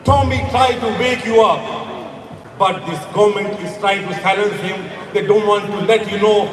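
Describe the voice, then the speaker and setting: A middle-aged man from Afghanistan giving an impassioned stage speech at an outdoor rally. He is emphatic, rises to shouts and repeats words for force. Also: high voice, moderate pace, crowd noise. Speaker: rally speaker. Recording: echoey PA heard from far off.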